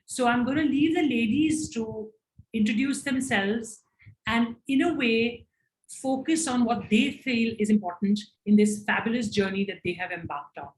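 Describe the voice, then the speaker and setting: A woman's voice: on the high side, moderate pace. A woman moderating a panel and introducing its speakers. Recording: echoey room sound.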